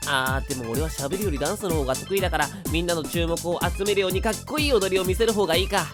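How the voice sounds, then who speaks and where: A woman playing an eager, hot-blooded high school boy in a Japanese anime-style voice drama, boyish and earnest. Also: racing, lightly acted. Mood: eager, determined. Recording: prominent music behind the voice.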